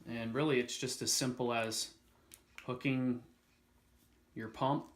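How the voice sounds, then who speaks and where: Male product reviewer, medium pitch, casual and unscripted, thinks out loud, recorded in an echoey space. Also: steady tone, slow. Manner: casual, hesitant, thinking aloud.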